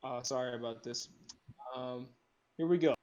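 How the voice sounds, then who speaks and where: A young man speaking informally and haltingly as he hosts and narrates an online group script read. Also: medium pitch, a little mellow, dull audio. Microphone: computer mic with headphones on a group call.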